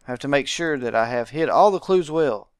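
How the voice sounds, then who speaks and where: A man's voice: mid-range voice, animated, moderate pace, clear, resonant tone, no room sound, clean studio sound. A man hosting a visual novel let's play, reading game text aloud and adding informal commentary.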